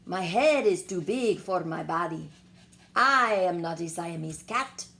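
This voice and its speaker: A woman voicing an excitable boy kitten who poses as a bold Chihuahua hero in a children's storybook, boastful and singsong. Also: fairly low pitch, measured pace, gravelly, heavily acted. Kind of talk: children's storybook read-aloud. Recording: some background noise.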